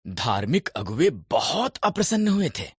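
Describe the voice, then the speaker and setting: A man narrating scripted Bible stories in a measured, expressive storytelling manner. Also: fairly high pitch, breathy edge, no echo, studio quality.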